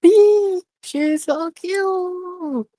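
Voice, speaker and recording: A bubbly, affectionate fantasy guild woman, acted by a man in a story reading. She gushes loudly. The voice leaps far above his normal pitch, in a very dry recording. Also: extremely slow, no echo, full character voice. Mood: gushing. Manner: loud, gushing.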